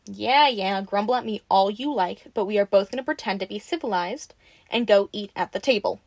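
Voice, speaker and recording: A woman's voice: medium pitch, brisk, gravelly, dry recording. Sassy, caring vampire woman, voiced by an actress, close and dry. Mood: teasing, bossy. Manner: playful, bossy, expressive, informal. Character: teasing, sassy, caring female vampire taking in a newly turned one. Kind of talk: audio roleplay addressed to the listener.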